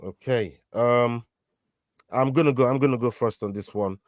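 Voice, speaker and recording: A man's voice: mid-range voice, normal speed, dry recording, studio quality. A man hosting a casual fight prediction show, leading the picks with many fillers and restarts. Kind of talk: casual betting picks chat.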